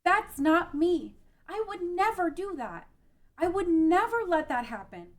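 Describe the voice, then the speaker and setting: Woman as an earnest, very high-voiced ranch girl. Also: animated, a little slow. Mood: distressed, defiant. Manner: emotive, earnest. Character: earnest, tender-hearted young ranch girl. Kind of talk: amateur table read of a game-based drama.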